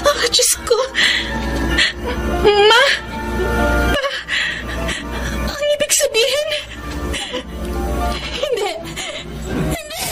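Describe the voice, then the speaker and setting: High-voiced fragile young girl. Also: drawn-out words, pauses often, music in the background, full character voice, rough recording. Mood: horrified. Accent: Filipino. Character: apologetic, fragile young granddaughter. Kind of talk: Filipino radio drama acting.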